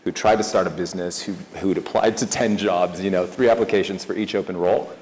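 A Ghanaian man with an American, Californian-sounding accent, speaking quickly as a fund manager on a conference panel. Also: low-pitched, fairly even intonation, darkish tone. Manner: fast, enthusiastic, many restarts. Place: large conference hall stage. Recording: echoey hall with faint ambience.

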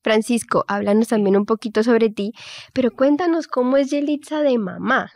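A woman's voice: a little high, normal speed, darkish tone, dry recording, studio quality. A woman hosting an interview podcast, presenting in a structured, welcoming way. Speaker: podcast host.

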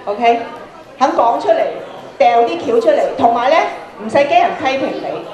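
A woman's voice: high voice, animated, normal speed, a little mellow, some chatter behind. A middle-aged woman with a Hong Kong Cantonese accent, encouraging a student audience as a workshop speaker. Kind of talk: spoken instructions to a student audience. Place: large indoor lecture hall. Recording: echoey auditorium, murmuring audience behind.

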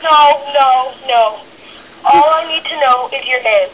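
A polite, formal man talks on the phone in a high voice. He keeps asking careful questions and stays suspicious. His voice comes through a phone speaker with faint background noise. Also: slow, highs cut off. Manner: polite and formal, calls the caller sir. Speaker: prank call target. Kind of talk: phone conversation. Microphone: heard through a phone speaker.

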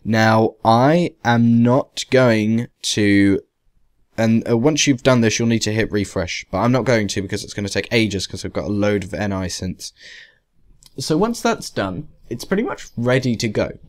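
A man giving an informal software tutorial in a conversational way, with wry, emphatic asides. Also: lowish voice, expressive, a little slow. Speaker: tutorial presenter. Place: voice-over to a screen capture.

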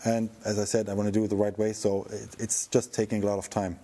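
A middle-aged man explaining a restoration project as its presenter, in a halting, thinking-aloud way. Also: on the low side, level tone, mellow.

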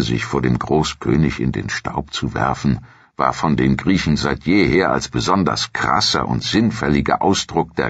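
A man reading a scripted historical documentary in a measured, dramatic storytelling manner. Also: a bass voice, creaky, a bit bright, husky, dry recording, dull audio. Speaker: narrator.

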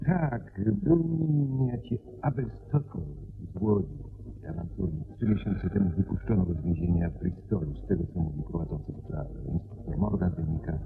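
An actor playing a well-bred gentleman client in a radio play, recounting things soberly in a formal, polite and deliberate manner. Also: deep voice, lively intonation, gravelly. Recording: muffled radio recording with faint noise.

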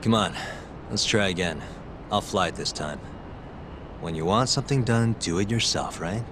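An actor playing a cool, self-assured detective in a video game, speaking in a terse, dry, confident way. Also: male voice, deep voice, expressive, moderate pace, background noise. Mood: dry, determined. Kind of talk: video game cutscene acting.

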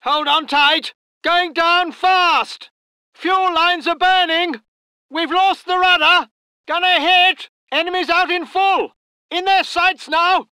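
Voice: very high-pitched, steady tone, slow pace, pushed and bright, no echo, exaggerated voice. An actor voicing a posh English military aviator in a video game, with clipped, old-fashioned understatement and a stiff upper lip. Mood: alarmed. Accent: upper-class English. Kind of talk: video game unit voice lines.

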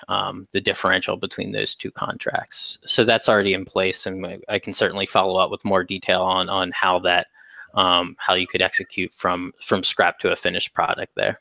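A man's voice: sits low, vocal fry, bright, forceful tone, no room sound, muffled recording. A man explaining as a panelist on a webinar over a video call, repeating words and filling with "um". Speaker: panelist, exchange representative.

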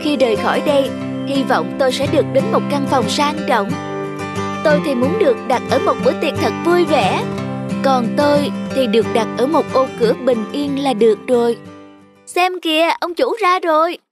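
A woman voicing a young, vain female sunflower in a children's cartoon, expressive and exclamatory. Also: sits high, normal speed. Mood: eager, hopeful. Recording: music behind the voice.

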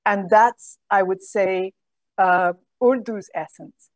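A middle-aged woman, a guest on a video call, explaining in a measured way. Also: medium pitch, no echo. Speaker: guest, program director.

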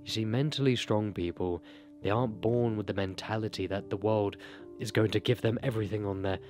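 A man's voice: on the low side, fairly quick, darkish tone, faint music behind. A young man giving earnest, motivational self-improvement advice straight to camera, speaking close into a desk mic in a small bedroom. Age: young adult. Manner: earnest, motivational, direct address. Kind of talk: talking-head advice monologue. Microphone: desk broadcast mic close to mouth.

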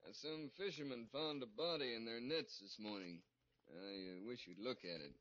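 An actor playing a brisk, matter-of-fact army colonel in a 1950s-style radio drama. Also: male voice, medium pitch, a bit bright, no echo, lightly acted. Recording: dull old radio recording with faint noise.

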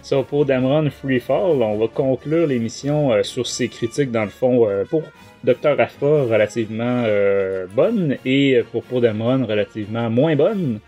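A man's voice: mid-range voice, normal speed, mellow, muffled recording, soft background music. A man with a Quebec French accent hosting a casual book review podcast, talking in a hesitant way. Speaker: podcast host.

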